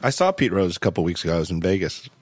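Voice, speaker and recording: A man with a very low voice that swoops up and down a lot as he tells stories, sounding a little hoarse. He rambles, restarting and correcting himself often. The recording is dry and close, with no room sound. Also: sing-song, racing, no room sound. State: slightly hoarse. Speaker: podcast host. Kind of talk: casual chat.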